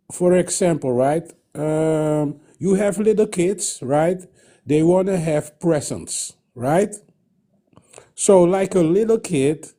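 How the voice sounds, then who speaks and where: Slow, halting Dutch-speaking man. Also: fairly high pitch, dark tone. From Guyana. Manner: halting, searching for words, many fillers. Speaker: caller. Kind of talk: call-in radio chat.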